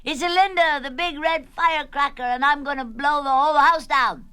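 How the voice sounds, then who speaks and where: A woman voicing a bossy, imaginative little girl in a children's storybook, excitable and dramatic in the way she declaims. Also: high voice, fairly quick, voice pushed up. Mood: boastful, exuberant. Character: bossy, imaginative little girl putting on grand pretend names.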